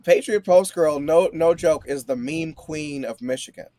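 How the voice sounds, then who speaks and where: A man's voice: on the high side, moderate pace, dark tone, dry recording. A man around forty chatting casually about politics as a show guest. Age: 40s.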